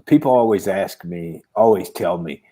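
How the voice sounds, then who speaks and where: A man hosting a casual interview show, chatty and a little halting as he works through his questions. His voice is low and mellow with a husky edge. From Titusville. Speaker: host.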